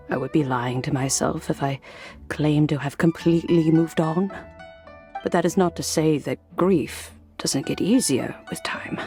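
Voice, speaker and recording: A woman playing a kindly, nostalgic old woman in a video game, speaking in a gently musing, reflective manner. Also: sits low.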